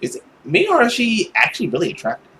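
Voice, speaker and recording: Expressive, theatrical visual novel narrator. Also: medium pitch, quick pace, slight background noise. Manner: theatrical reading with casual asides. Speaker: gaming video host.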